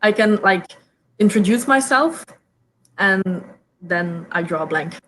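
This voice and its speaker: A young woman from Belgium with Dutch as her first language chats over a video call mic as host of a reading session. Her voice sits at an ordinary middle pitch with a light hiss behind it, and she often repeats a word before getting a sentence going. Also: expressive, slow pace, fairly bright tone, poor audio. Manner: chatty, repeats words when starting sentences, laughs often. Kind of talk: casual chat on a video call.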